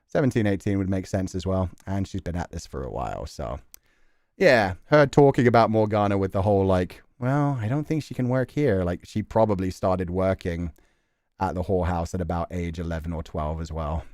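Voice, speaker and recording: A man's voice: sits low, fairly quick, a little mellow. A man musing aloud and reading narration as he plays through a visual novel. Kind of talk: casual game commentary and narrated reading. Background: music.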